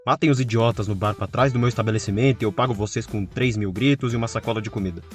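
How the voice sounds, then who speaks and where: A man's voice: lowish voice, fast, faint music behind, close to an everyday voice. A man playing a crude, mocking bar owner who hires mercenaries in an audio drama, sneering and vulgar. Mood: irritated, dismissive.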